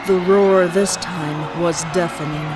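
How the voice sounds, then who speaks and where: Slow, lower-pitched woman narrating over music. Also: steady tone. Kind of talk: scripted story narration.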